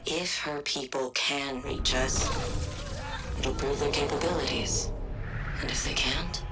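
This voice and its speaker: A cold, methodical scientist narrating a game cutscene. Her voice sits unusually low, calm and clipped, weighing each fact analytically over a loud music score. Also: a little slow. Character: cold, methodical female scientist, isolated and devoted.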